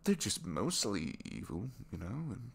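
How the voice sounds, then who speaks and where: A captured supervillain played by a man confides in a low voice that swings expressively up and down. He is bashful, self-deprecating and smitten, halting and dropping into whispers as in an intimate ASMR roleplay. A faint hum sits behind him. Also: very animated, gravelly, exaggerated voice. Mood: defensive, musing. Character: captured young male supervillain, reluctantly evil, bashful and lovestruck. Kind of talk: ASMR romantic audio roleplay.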